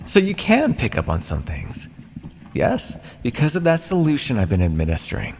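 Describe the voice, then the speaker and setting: Man playing a creaky, swooping, condescending vampire captor. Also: mid-range voice, huge pitch swings, darkish tone. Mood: smug taunting. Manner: taunting, patronising, theatrical, with deliberate pauses. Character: ancient condescending male vampire captor, smug and menacing. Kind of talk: ASMR roleplay monologue. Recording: long reverb, noisy background.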